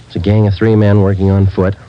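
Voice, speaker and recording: A man's voice: fairly low pitch, level tone, quick pace, natural voice. An actor playing a terse male police detective sergeant who narrates in a clipped, matter-of-fact way, in a 1950s radio drama. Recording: dull old radio transcription with faint hiss.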